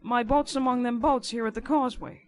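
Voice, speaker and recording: A woman voicing a rough, sly, boastful working-class riverman informer in an audiobook, with insistent colloquial speech. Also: on the high side, fairly even intonation, brisk, voice pushed up, close to an everyday voice. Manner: colloquial, insistent, nonstandard grammar. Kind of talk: dramatic audiobook character reading.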